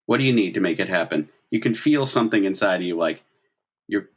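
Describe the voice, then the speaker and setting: Low-voiced man running a tabletop game. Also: lively intonation, quick pace. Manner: prodding players with questions. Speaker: game master. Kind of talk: narrating a tabletop role-playing game, conversational.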